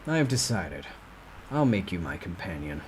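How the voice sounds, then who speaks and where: Low pitch, cold wary warrior, acted by a male reader, terse, brooding, storybook drama, background hiss. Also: very animated, moderate pace, a little mellow, rough recording. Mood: resolved. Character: cold, suspicious young male regressor fighter, terse.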